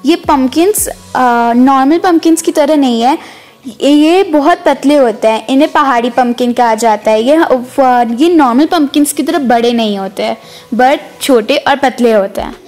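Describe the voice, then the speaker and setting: A woman's voice: high voice, normal speed, a little mellow. A woman giving a chatty, casual vlog voice-over, mixing in English words. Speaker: vlog narrator. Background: background music.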